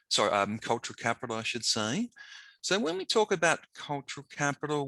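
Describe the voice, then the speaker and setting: A man presents a webinar in a relaxed, conversational way, pausing often and filling gaps with ums. His voice is low and somewhat bright, with a slight croakiness. The sound is dry and close, like a video call. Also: dry recording, poor audio. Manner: informal, conversational, with frequent ums and restarts. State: slightly hoarse. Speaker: webinar presenter, teacher of the deaf.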